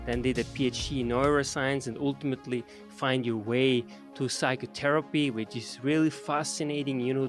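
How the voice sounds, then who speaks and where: Guy hosting a podcast, mid voice, intro and questions, soft music under it. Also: medium pitch. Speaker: podcast host. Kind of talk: scripted show introduction and questions.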